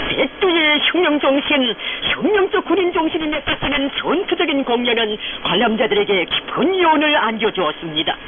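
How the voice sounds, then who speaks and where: A woman with a North Korean accent reads solemn state radio news in a declamatory, fervent, reverent manner. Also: sits high. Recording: noisy shortwave radio, narrow phone-like band.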